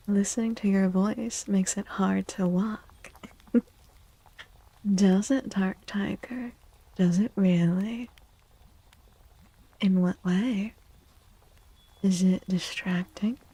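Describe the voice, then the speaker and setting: Female ASMR tarot host, breathy and half-whispered, unhurried and gentle, with a mellow tone. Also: mid-range voice. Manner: gentle, slow, deliberate with pauses. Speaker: livestream host and tarot reader. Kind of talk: soft-spoken ASMR tarot reading.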